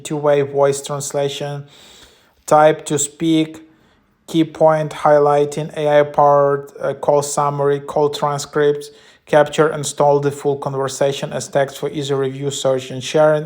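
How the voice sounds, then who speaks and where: A man giving a casual, loosely improvised app walkthrough as a tech vlogger, with halting phrasing. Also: fairly high pitch, a little mellow. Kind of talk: casual app walkthrough, partly reading descriptions aloud.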